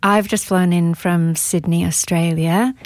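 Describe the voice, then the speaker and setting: A woman's voice: fairly low pitch, fairly even intonation, measured pace, darkish tone, no echo. A woman hosting a podcast interview, asking questions and chatting informally in a conversational style.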